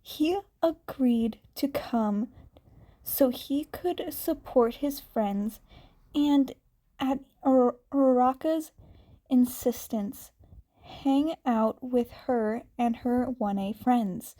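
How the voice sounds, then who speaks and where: A woman reading fanfiction aloud as a narrator in a soft, unhurried way. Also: a little high. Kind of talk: amateur audiobook narration.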